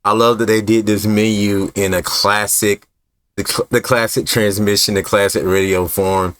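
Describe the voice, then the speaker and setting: Man, fairly low voice, gaming host, casual rambling commentary, informal. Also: level tone, normal speed, fairly bright tone, no room sound.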